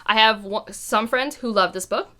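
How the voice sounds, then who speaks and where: A woman with a mid-pitched voice talks casually, as a book vlogger would to her viewers. She is chatty and enthusiastic, and she tends to wander into little asides as she goes. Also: moderate pace, bright, forceful tone. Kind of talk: casual chat to camera about books.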